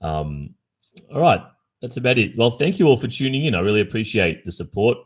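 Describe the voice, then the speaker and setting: A man in his early forties with an Australian accent, chatting casually to livestream listeners in a thoughtful, halting way. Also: medium pitch, sing-song, slightly rough. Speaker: ASMR roleplay streamer. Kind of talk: casual livestream chat answering listener questions.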